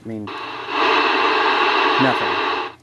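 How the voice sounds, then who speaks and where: A man's voice: very slow, very noisy. A man casually showing his radio gear at home, rambling in a folksy way and talking straight to his viewers. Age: adult, married father. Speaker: radio hobbyist vlogger. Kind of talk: casual show-and-tell vlog. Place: indoors at home.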